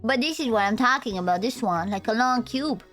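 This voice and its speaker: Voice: mid-range voice, animated, brisk, a bit bright, soft background music. A young adult VTuber streamer with a Romanian accent, chatting with viewers in a goofy, rambling, playful way. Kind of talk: casual live-stream chat.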